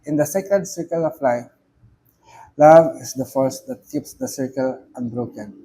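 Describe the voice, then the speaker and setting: A middle-aged man from the Philippines with a Filipino accent, a reverend reading a spiritual reflection aloud, slowly and with emphasis on key words. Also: medium pitch, lively intonation, warm tone, slightly rough, poor audio. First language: not English. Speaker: reverend giving commentary.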